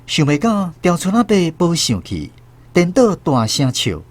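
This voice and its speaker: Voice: medium pitch, huge pitch swings, unhurried. An actor with a Taiwanese accent narrating a radio drama in the first person, as a grown-up recalling a village childhood. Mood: reflective storytelling. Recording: music under the voice.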